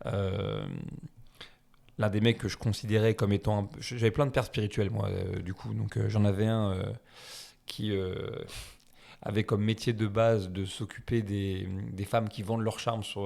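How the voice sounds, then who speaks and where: A man from France in his thirties talks loosely through a long interview answer, full of fillers and wry self-mockery. His voice sits low with a gravelly edge. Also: little pitch movement, normal speed, darkish tone. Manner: rambling, self-deprecating, many fillers. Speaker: guest. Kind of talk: casual conversational interview.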